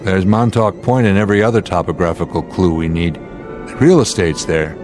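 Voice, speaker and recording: Man acting a low-voiced, steady airline captain. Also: moderate pace, lightly acted. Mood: grave, resolute. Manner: calm, commanding, clipped. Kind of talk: old-time radio drama acting. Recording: dramatic music underneath.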